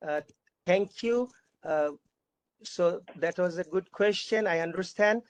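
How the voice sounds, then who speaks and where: A panel moderator reading out audience questions, haltingly, often repeating and rephrasing. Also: mid-range voice, no echo.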